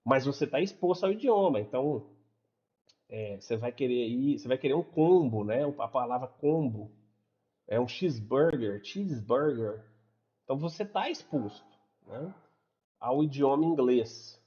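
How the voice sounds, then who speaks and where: A man's voice: mid-range voice, animated, normal speed, warm tone. Chatty Brazilian man teaching, lo-fi sound. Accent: Brazilian Portuguese. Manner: chatty, tags nearly every sentence with a checking question. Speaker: English teacher. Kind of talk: casual explanatory lesson. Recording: dull lo-fi recording.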